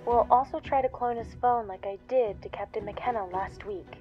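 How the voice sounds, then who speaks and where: Actress as brisk, higher-voiced female vigilante. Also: lo-fi sound, faint music behind, natural voice. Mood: businesslike. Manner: brisk, teasing. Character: brisk, teasing, capable female vigilante teammate. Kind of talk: naturalistic audio drama acting.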